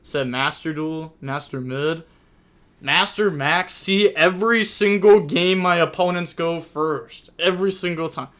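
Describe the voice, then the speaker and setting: Man, live-stream host, casual play-by-play, rambling chat, bright tone, smooth clear voice. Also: a little high, measured pace. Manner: chatty, rambling, frequent "like" and "I mean"; play-by-play calling of card game turns. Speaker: streamer hosting an online card game tournament.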